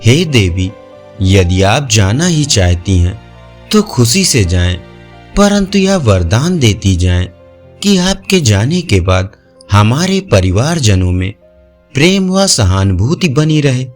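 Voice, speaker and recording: A man's voice: medium pitch, very animated, slow, pitched below the performer's own voice. A man voicing a devout, humble merchant respectfully asking for a boon in a folk tale. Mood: humble, earnest. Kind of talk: devotional folk-tale storytelling. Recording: music under the voice.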